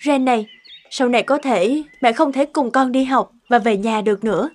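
Woman as a high-voiced, gentle cartoon mother. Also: moderate pace, close to an everyday voice. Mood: tender, sad. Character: gentle, sorrowful young mother soothing her child. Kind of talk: Vietnamese cartoon dubbing. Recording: long reverb, music underneath.

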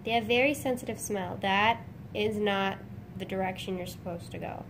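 A woman's voice: mid-range voice, moderate pace, a little hiss or hum. A young woman beauty vlogger with a sick, nasal voice, chatting casually in her bedroom while doing her makeup, rambling with lots of fillers. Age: young adult. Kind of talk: casual makeup tutorial chat. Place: bedroom at home, indoors.

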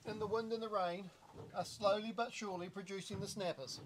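A man, talking in a relaxed, earnest way while fishing from a boat outdoors. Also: high voice, fairly quick, background noise. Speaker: fishing companion. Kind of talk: casual chat while fishing. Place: on a small boat at sea, outdoors. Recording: loud music under the voice.

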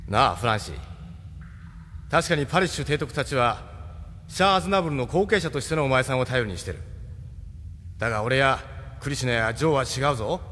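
A man's voice: mid-range voice, expressive, fast, pauses often, sharp tone. A man playing a wry, loyal ship captain in a Japanese audio drama, frank and familiar. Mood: reassuring. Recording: long hall reverb, faint noise.

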